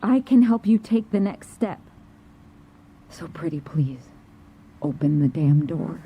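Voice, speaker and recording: A woman's voice: low voice, lively intonation, slow, slight background noise. A woman playing a pushy, cryptic government agent in an audio drama, commanding, clipped and probing. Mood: cryptic, insistent. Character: pushy, cryptic female government agent interrogating through a door. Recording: muffled, dull sound.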